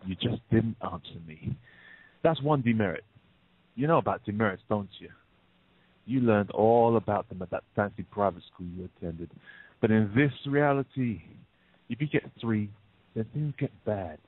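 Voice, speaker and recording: A man's voice: on the low side, normal speed, slightly rough, dry recording. A man playing a smug, menacing bank robber in an audio play, speaking with taunting, mock-polite deliberation. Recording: muffled narrow phone-like sound.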